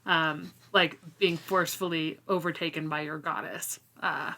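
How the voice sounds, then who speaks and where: A woman's voice: low-pitched, fairly even intonation, a little slow, sharp tone. A woman co-hosting a casual book discussion, informal and somewhat hesitant as she thinks aloud. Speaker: podcast co-host.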